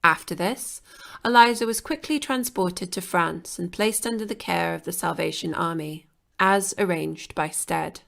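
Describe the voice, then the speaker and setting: A woman reading scripted documentary narration for a podcast in a measured, even way. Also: lowish voice, vocal fry.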